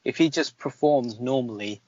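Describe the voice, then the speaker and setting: A man's voice: medium pitch, moderate pace, a little mellow, no echo. Man, podcast reviewer, casual and critical, close dry sound, slightly lo-fi. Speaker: podcast host reviewing films.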